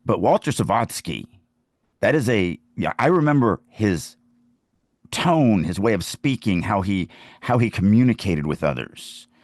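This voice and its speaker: A man's voice: lowish voice, sing-song, unhurried, no echo, studio quality. A man in casual conversation as a podcast co-host, speaking emphatically and repeating phrases to make a point. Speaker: writer co-hosting a writing podcast. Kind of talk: casual conversational writing discussion.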